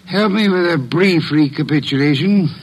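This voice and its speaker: An actor playing an imperious, pedantic middle-aged detective in a classic radio mystery, speaking formally and precisely with a heavy, breathy delivery. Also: male voice, fairly high pitch, moderate pace, rough recording. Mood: commanding. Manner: formal, pompous, precise diction. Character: imperious, pedantic male detective, eloquent and exacting.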